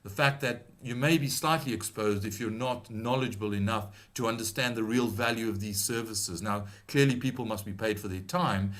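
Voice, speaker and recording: Middle-aged man, British accent with South African tinge, lowish voice, measured advisory webinar talk. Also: a bit bright. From Britain. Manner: measured, advisory, with frequent "um" and restarts. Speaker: webinar presenter.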